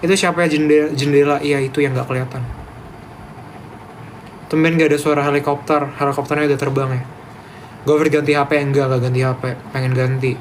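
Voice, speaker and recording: Animated young man with Medan accent. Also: on the high side, fairly quick, long pauses, slight background noise, rough recording. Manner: animated and emphatic, ranting back at comments. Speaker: livestreamer reading chat. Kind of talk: casual live-stream chat.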